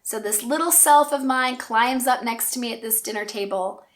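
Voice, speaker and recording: A woman in her forties telling a personal story in an earnest, reflective and gentle way. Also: on the high side, normal speed. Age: 40s. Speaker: speaker and coach.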